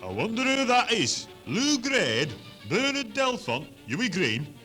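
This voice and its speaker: A man's voice: high voice, huge pitch swings, slow, loud music behind the voice, exaggerated voice. A man playing a naive, whiny northern English son in his twenties in a 1970s hospital radio comedy. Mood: gormless. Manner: plaintive, gormless, exclamatory. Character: naive, whiny, dim 23-year-old son dreaming of fame.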